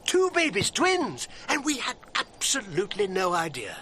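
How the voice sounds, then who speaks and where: Flustered English barrister, sharp voice, lively swoops, earnest, fussy, noisy radio drama scene. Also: male voice, sits high, normal speed, heavily acted. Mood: excited, proud. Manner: flustered, stammering, prissy.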